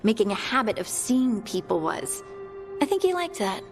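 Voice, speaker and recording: A woman playing a warm, earnest young scout in a fantasy video game, chatting in a friendly, conversational way. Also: mid-range voice, sing-song, moderate pace, faint music behind. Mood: fond, chatty. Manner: chatty, friendly, lightly amused.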